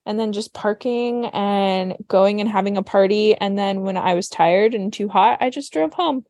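A chatty woman co-host tells stories in an animated way. Her middle-range voice is recorded dry and close to the mic. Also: medium pitch, moderate pace, dry recording. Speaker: podcast co-host. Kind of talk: casual chat.

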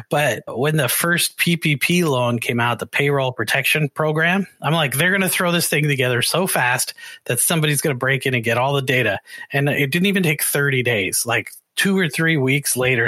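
A Canadian man hosting a casual podcast interview. His voice is bright and mid-pitched, recorded dry and close. He talks in a chatty, rambling way, telling things like stories. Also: no room sound. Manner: chatty, rambling, animated storytelling. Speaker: podcast host.

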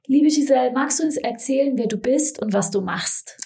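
A woman's voice: high voice, moderate pace, gravelly, warm tone. A woman hosting a talk show, asking curious follow-up questions.

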